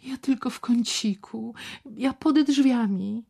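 A woman's voice: a little high, slow, creaky, dark tone, voice pushed up, exaggerated voice. A woman voicing a timid young woman in love who pleads in a whisper. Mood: pleading.

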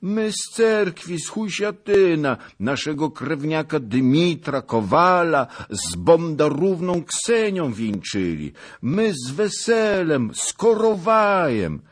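Elderly peasant for historical audiobook, male narrator acting: meek, pleading, obsequious, raised pitch with lively swings. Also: takes it slowly, few pauses, exaggerated voice. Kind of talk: dramatised audiobook of historical novel.

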